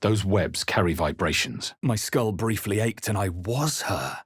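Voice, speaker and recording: A man voicing a wary, brooding adventurer hero in a fantasy video game, narrating in the first person with dramatic, measured intensity. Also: lowish voice, lively intonation, slightly rough, no room sound, clean studio sound. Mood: grave, wary. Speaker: video game player character voice.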